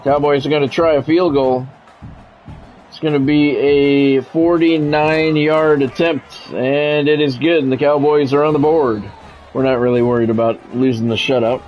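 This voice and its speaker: A man's voice: on the high side, steady tone, moderate pace, lo-fi sound, faint music behind. An American man calling live football video game play-by-play in clipped announcer phrases, with casual asides. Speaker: play-by-play streamer.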